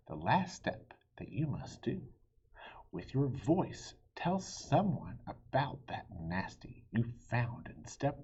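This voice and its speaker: A man reading a children's story aloud in a theatrical way, with a breathy, husky voice that dips into hushed tones. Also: medium pitch, lo-fi sound. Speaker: children's book reader.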